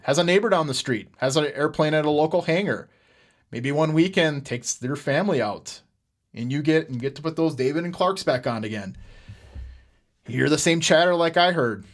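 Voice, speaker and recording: A middle-aged man with an American accent giving unscripted podcast commentary in an earnest, halting manner, often repeating words. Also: a little high. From United States. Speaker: podcast host. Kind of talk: unscripted political commentary with personal stories.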